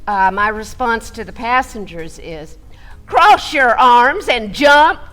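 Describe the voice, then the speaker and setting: A woman playing an excitable flight attendant who tells her training story theatrically, with deliberate delivery and loud commands. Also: on the high side. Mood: loud, commanding. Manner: dramatic, deliberate, breaking into loud barked commands. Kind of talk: theatrical first-person storytelling for a radio play.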